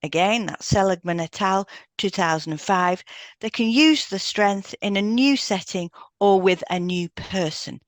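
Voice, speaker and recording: A woman's voice: medium pitch, a little slow, no echo. A woman co-hosting a podcast, reading out step-by-step instructions from a script with brief asides. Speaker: podcast co-host, hypnotherapist.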